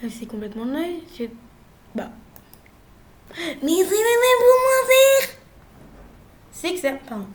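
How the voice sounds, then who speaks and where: A young woman with a cold, chatting playfully through gameplay commentary, jumping from loud exclamations to quiet mumbles. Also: high-pitched, echoey room. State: has a cold. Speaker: gaming youtuber.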